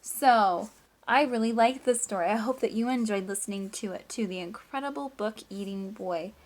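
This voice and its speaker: A woman teacher reading a picture book aloud to children, with expressive emphasis, drawn-out words and hushed asides. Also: mid-range voice.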